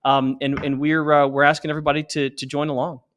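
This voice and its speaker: A man with a slightly high voice gives an interview as a company founder. He talks earnestly and promotionally, urging listeners along in a conversational way. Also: flat delivery, fast talker, fairly bright tone, slight background noise. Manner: earnest, exhorting.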